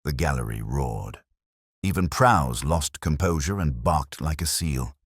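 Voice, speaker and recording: A man's voice: very deep voice, expressive, unhurried, husky, no echo, studio quality. A man reading a mystery story as a scripted audiobook narration.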